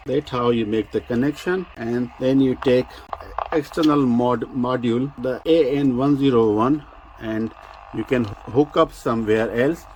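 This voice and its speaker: A man who speaks English as a second language gives an unscripted product demonstration, explaining technical details in a halting way with repeated words. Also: medium pitch, a little mellow, a little hiss or hum, rough recording. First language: not English. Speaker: presenter of a vintage radio review.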